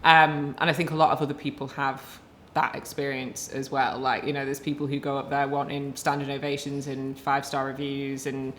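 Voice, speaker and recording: A woman with a notably low voice hosts a relaxed podcast conversation. She talks in a loose, chatty way, laughing easily and tossing in wry, self-mocking asides. A faint background hiss sits under her voice. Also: brisk, hardly any pauses. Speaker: podcast host. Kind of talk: casual conversational interview.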